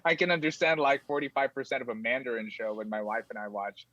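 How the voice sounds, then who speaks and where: A man from the Philippines whose first language is Tagalog, speaking with an American accent as a guest in a casual interview, rambling with many fillers and sudden high jumps. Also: fairly even intonation, fast talker, bright, forceful tone, no room sound, highs cut off.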